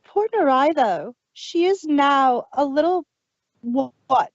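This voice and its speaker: A woman co-hosting a podcast, her voice a little high and her pace unhurried. She chats casually and stops and restarts as she thinks. The recording sounds dull and lo-fi, with no room echo at all. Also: expressive. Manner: hesitant, restarts often, chatty. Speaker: podcast co-host. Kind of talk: casual review chat. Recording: dull lo-fi dry home mic.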